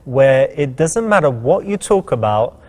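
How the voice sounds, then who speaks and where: Mid-pitched man giving casual coaching. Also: big pitch swings, unhurried, a little mellow. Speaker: seduction coach presenting the game. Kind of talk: casual instructional commentary.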